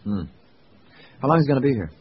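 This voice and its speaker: An actor playing a brisk, gruff surveillance crew boss, clipped and businesslike, in an old radio drama. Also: male voice, medium pitch, big pitch swings, natural voice. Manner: clipped, giving orders. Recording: muffled old radio recording, faint noise.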